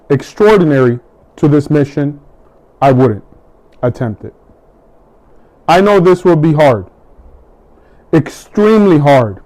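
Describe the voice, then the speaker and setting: A middle-aged man from the United States giving a measured, deliberate campaign speech, pausing between each item he lists. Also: a little high, dark tone, rough recording. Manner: measured, deliberate, with long pauses between list items. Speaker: political hopeful.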